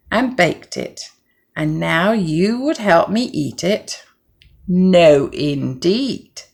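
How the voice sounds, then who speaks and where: A woman voicing an industrious, motherly little red hen in a storybook, speaking in a firm, emphatic, deliberate way. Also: on the low side, creaky, heavily acted.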